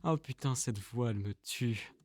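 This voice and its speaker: A man's voice: mid-range voice, moderate pace, no echo. A man narrating a casual vlog as an amateur dubber, informal and self-deprecating. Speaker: amateur voice dubber and channel creator.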